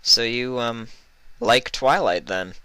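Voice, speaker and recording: Man voicing an awkward, downcast mare, pitch lifted. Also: pushed and bright, clear, resonant tone, no room sound. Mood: awkward, hurt. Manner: halting, mumbled, awkward. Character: downcast, awkward female unicorn pony.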